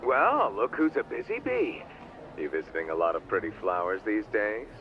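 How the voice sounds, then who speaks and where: A man's voice: lowish voice, very animated, normal speed. A man playing a giddy, teasing fanboy on a phone call in a video game, gushing and full of playful puns. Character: giddy, teasing, geeky mascot-obsessed male caller. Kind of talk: video game cutscene acting. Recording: dull, noisy phone call.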